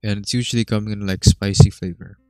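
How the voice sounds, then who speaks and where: A man's voice: on the low side, fairly even intonation, quick pace, dark tone, dry recording, soft background music. A young man co-hosting a casual podcast, joking and hesitant, full of fillers. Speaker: podcast co-host. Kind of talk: casual chat.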